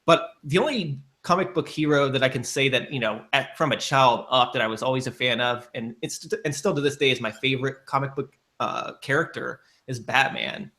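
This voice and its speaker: A man's voice: medium pitch, a little fast, hardly any pauses, bright, forceful tone. A man hosting a casual podcast chat, rambling with lots of fillers and restarts.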